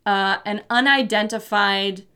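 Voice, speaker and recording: Gravelly-voiced woman explaining at length. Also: mid-range voice, measured pace, bright, forceful tone. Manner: explanatory, many fillers and hedges. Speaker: podcast co-host. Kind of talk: casual chat about mythology.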